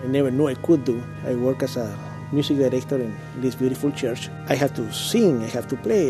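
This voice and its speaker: Middle-aged man, Chilean Spanish accent, documentary musician, calm and plain-spoken over clear background music. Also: mid-range voice, low-quality recording. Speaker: musician and church music director. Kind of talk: documentary interview.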